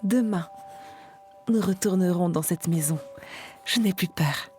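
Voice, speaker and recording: A woman playing a sensitive, haunted female clairvoyant in an audio story, speaking in a hushed, confiding, earnest way. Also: lowish voice, measured pace, faint music behind, close to an everyday voice. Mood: solemn resolve.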